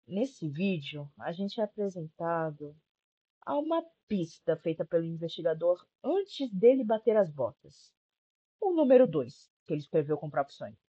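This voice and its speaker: A young Brazilian woman hosts a casual commentary video. Her voice is husky and swings widely in pitch as she talks in an informal, sarcastic way. The recording is a little dull and lo-fi. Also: dark tone. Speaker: YouTube commentary host. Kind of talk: casual video-essay commentary recapping a horror series.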